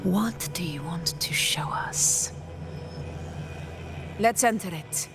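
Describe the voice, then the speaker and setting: Stately female warrior, low slow delivery, solemn, formal, video game dialogue. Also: over music. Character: composed, stately woman warrior leader, grave and trusting.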